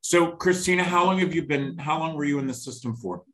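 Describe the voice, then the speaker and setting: A man's voice: on the high side, a little fast, rough recording. A man in his fifties hosting an interview show, blunt and emphatic, often saying "you know" and "okay". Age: 50s. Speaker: show host.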